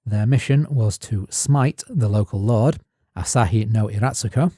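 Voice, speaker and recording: A man co-hosting a podcast, reading his prepared notes as measured narration. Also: fairly low pitch, dark tone, husky, dry recording, clean studio sound. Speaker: podcast co-host. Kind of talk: scripted narration.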